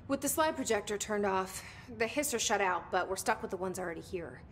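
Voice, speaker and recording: A woman's voice: mid-range voice, lively intonation, brisk, slight background noise, natural voice. Resolute, plain-spoken young woman director, acted. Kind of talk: naturalistic video game acting.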